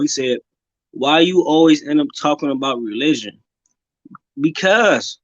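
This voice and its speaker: A man's voice: a little high, fairly bright tone, no room sound, lo-fi sound. A man speaking as a guest on a livestream panel, ranting fervently and with lots of profanity, often ending his lines with "bruh". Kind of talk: impassioned livestream rant.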